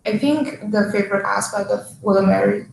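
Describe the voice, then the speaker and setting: A woman's voice: medium pitch, brisk, creaky. A young woman from China, a junior student chatting easily on a panel with lots of fillers. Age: young adult. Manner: easygoing, many "like" fillers. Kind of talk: casual panel chat. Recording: roomy with faint hiss.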